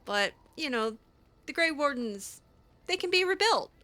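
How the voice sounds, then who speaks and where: A woman improvising in-character dialogue as she plays a roleplaying game, halting and repeating words. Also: high voice, very animated, bright, forceful tone, no echo.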